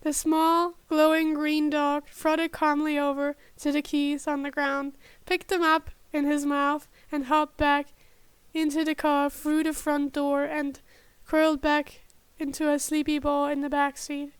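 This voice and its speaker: High-voiced woman narrating, slow pace. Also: level tone, dry recording. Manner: stumbling over words, self-correcting. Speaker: podfic narrator. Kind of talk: amateur fan fiction narration.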